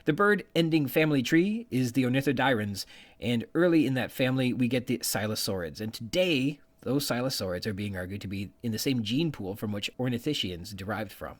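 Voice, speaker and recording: A man's voice: medium pitch, expressive, fairly quick. Man presenting a science explainer podcast. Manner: explanatory, reads technical terms and quotations aloud with informal asides. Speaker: podcast host. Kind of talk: semi-scripted science explainer, reading and summarising research papers.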